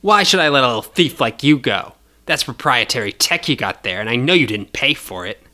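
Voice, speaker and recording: A man's voice: mid-range voice, animated, fairly quick, sharp tone. Man acting a smug, cruel bounty hunter. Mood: taunting. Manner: taunting, sneering, drawling for effect. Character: smug, cruel young male bounty hunter, cocky and sadistic.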